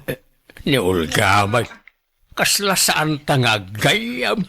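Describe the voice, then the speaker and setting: Creaky, animated flirty gambling buddy played by a man. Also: medium pitch, slow pace, exaggerated voice. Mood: flirty teasing. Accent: Filipino. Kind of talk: Ilocano radio drama acting.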